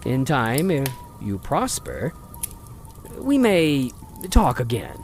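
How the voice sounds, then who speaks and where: A man's voice: medium pitch, wildly swooping pitch, slow, a little mellow, a little hiss or hum. A man playing a stern pompous father. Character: stern wealthy older father, Mister Spencer. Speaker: voice actor. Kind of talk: old-time radio drama acting.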